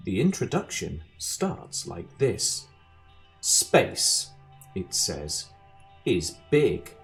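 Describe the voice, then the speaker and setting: A man playing a knowing talking guidebook, narrating a comic amateur radio drama with deliberate, droll emphasis. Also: mid-range voice, a little mellow.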